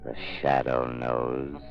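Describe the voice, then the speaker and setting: Sinister mocking avenger, bottomless gravelly voice, extremely slow, ominous, 1930s radio drama, music behind. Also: male voice, low-pitched, heavily acted. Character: sinister laughing male vigilante, mocking and menacing. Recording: muffled vintage radio recording.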